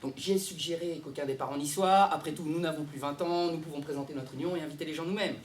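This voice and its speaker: An actor playing an exasperated, conflict-averse bridegroom in a theatrical monologue, talking fast and stammering in a roomy space. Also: male voice, a little high, a little hiss or hum, close to an everyday voice. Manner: stammering, hesitant, rapid-fire. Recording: roomy, echoey sound.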